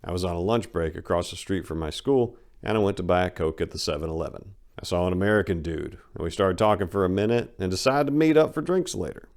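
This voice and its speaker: A man with a lowish voice gives a dramatic reading of a first-person memoir. He tells it in a colloquial way, brash and wry throughout. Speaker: narrator.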